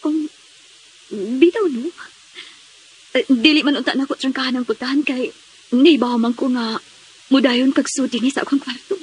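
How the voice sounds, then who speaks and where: A very high-voiced, anxious mother in a Filipino radio drama. She speaks with a Visayan accent in a fretful, emotive, evasive way, like someone guarding a secret. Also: moderate pace, pauses often, slightly rough, low-quality recording. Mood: nervous, secretive.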